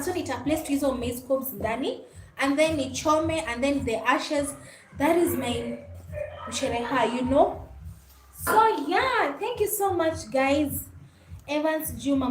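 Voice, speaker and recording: Casting: female livestream host, Kenyan accent, high register, friendly casual chat, recorded in a reverberant room. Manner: friendly, mixes English and Swahili, often thanks and greets viewers. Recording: echoey room with faint background noise.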